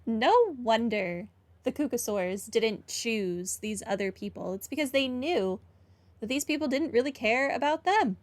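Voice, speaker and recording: A woman's voice: a little high, unhurried. A woman doing casual, opinionated game commentary, talking back to the characters. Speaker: gaming playthrough host.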